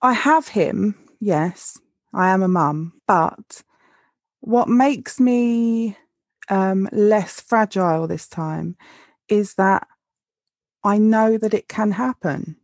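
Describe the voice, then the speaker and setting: A woman's voice: medium pitch, takes it slowly, no echo. Candid woman in her thirties, dry mic. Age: 30s. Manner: candid, frank, wry. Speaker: podcast co-host. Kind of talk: casual heartfelt conversation.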